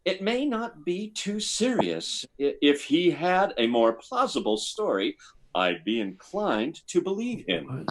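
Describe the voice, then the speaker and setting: A man's voice: a little high, sing-song, slow, slight background noise, full character voice, rough recording. An actor playing a cautious, soothing doctor in a sci-fi radio drama, hesitant and stammering as he placates. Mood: measured, hesitant. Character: cautious, soothing male doctor at a test base. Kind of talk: live performance of 1950s sci-fi radio drama.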